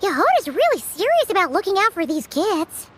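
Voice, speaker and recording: A woman voicing a tiny, curious, excitable fairy girl with a squeaky childlike voice for a video game. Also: brisk, slight background noise. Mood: curious, eager. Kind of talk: animated video game voice acting.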